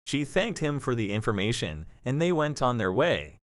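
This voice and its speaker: A man's voice: mid-range voice, very animated, moderate pace, dry recording, clean studio sound. A man narrating a scripted story as the narrator of an audio drama.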